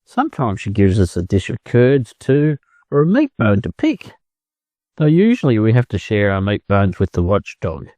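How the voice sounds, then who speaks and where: A man voicing a scruffy, bold half-grown chicken with a rough, brash way of talking, in a storybook reading. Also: on the low side, huge pitch swings, normal speed, warm tone, no echo. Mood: incredulous, boastful.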